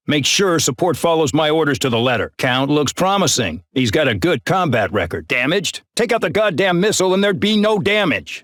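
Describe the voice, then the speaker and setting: A man's voice: a little high, a bit bright, no room sound, studio quality. A man voicing an arrogant, bullying male air base colonel in a game, barking brusque, contemptuous orders. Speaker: military commander. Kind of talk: game voice acting.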